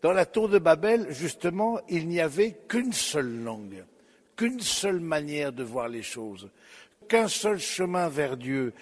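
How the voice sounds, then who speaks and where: A man preaching a sermon, slow and reflective, addressing his listeners directly, with pauses and fillers. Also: fairly high pitch, long reverb tail.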